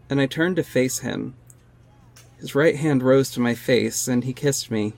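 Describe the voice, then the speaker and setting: A man's voice: mid-range voice, close to an everyday voice. An actor playing an earnest masked superhero who narrates his own adventures in an audio drama. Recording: music under the voice. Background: music.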